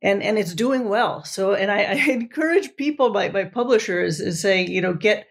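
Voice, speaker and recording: Gravelly woman, Italian first language. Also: mid-range voice, expressive. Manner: halting, many restarts, warm enthusiasm. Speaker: guest, professor. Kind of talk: podcast interview.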